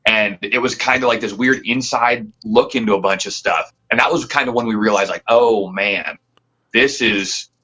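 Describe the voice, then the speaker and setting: A man's voice: lowish voice, normal speed, sharp tone, breathy edge, lo-fi sound. A man from the Pacific Northwest, a band member, chatting as a guest in a loose, rambling, earnest way. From Pacific Northwest, near Tacoma. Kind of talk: casual interview chat.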